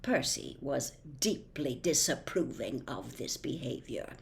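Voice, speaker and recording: A woman reading a storybook aloud as narrator, in an expressive storytelling style. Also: extremely low pitch, a little slow, gravelly. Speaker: audiobook reader.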